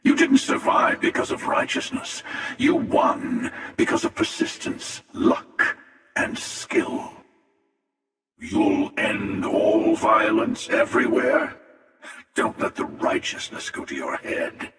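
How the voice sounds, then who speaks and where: An actor playing a smug, world-weary older hunter in a video game, with a gravelly voice, a measured sardonic delivery and an echoing reverb effect. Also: male voice, fairly low pitch, huge pitch swings, a bit bright, full character voice. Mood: mocking, stern. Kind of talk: video game character dialogue. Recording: echoing long reverb, distant-sounding.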